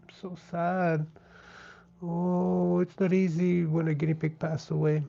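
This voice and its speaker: A man with a French Canadian accent, a native French speaker, chatting gently and casually as he hosts a live craft stream. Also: on the high side, monotone, measured pace, gravelly. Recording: dull, lo-fi sound.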